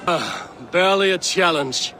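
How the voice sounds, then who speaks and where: Confident, higher-voiced Viking warrior. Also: male voice, measured pace. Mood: dry, cocky. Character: confident, dry-witted Viking warrior hero. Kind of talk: video game cutscene acting. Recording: music playing behind the voice.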